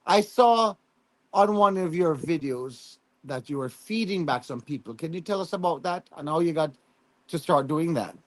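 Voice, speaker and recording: Host guy, bit higher voice, lots of "okay okay". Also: moderate pace, dry recording. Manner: relaxed, encouraging with many short acknowledgements. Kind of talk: casual chat.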